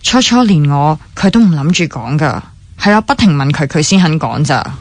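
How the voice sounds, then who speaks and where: Radio drama confidante: sceptical young woman, Hong Kong Cantonese, lowish pitch, dry close sound, plain-spoken and blunt. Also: expressive, moderate pace, no room sound. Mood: questioning. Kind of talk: naturalistic radio drama dialogue.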